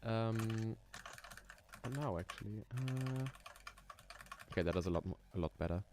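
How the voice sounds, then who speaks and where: A young man from Germany, speaking English with a German accent, his voice sitting a little low. He talks in a relaxed, unhurried way on a casual live stream, musing aloud with frequent ums and soft laughs while keyboard typing clicks behind him. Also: background noise. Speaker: streamer building roller coasters.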